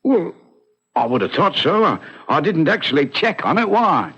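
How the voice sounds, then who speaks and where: An actor playing a deferential, alarmed manservant in an old-time radio drama, with a stammering manner. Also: male voice, a little high, sing-song, normal speed, fairly bright tone, husky, exaggerated voice. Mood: flustered, deferential. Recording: muffled vintage radio broadcast.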